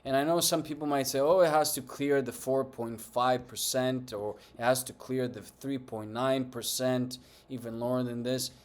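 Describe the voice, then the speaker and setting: A man whose first language is Greek talks through market charts in English with a Greek accent, using a voice of ordinary pitch for a man. He lectures emphatically and keeps checking in with his viewers, turning to open taunts when he pushes a point. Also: level tone, normal speed. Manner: emphatic and lecturing, with many tag questions and taunts. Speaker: finance channel host. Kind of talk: opinionated market commentary to camera.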